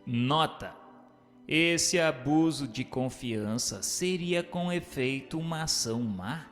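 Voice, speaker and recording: A man narrating and posing questions from a religious text in a formal, measured, didactic way. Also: fairly high pitch, animated, fairly bright tone. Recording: hall-like reverb, music bed.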